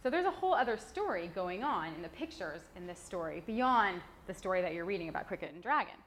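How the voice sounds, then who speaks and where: A woman giving an informal, expressive and enthusiastic explanation as a book publishing expert presenting a picture book. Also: mid-range voice, fast talker, a little hiss or hum. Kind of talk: informal explanatory talk and storybook reading aloud.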